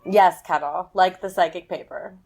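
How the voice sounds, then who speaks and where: A woman's voice: lowish voice, steady tone, normal speed, darkish tone. A woman playing a busy, enthusiastic academic scientist in an audio drama, chatty and candid. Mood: harried, chatty.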